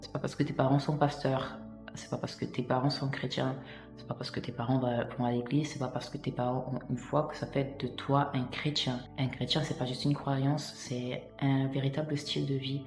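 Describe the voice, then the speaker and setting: A woman's voice: a bass voice, little pitch movement, normal speed, roomy sound, music in the background. A woman from Italy sharing her personal faith testimony in a vlog, earnest and hesitant, with many fillers and restarts.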